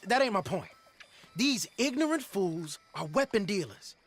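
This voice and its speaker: A young actor playing an eager, cocky outlaw with a chatty, excitable manner. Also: male voice, high-pitched, unhurried, breathy edge, exaggerated voice.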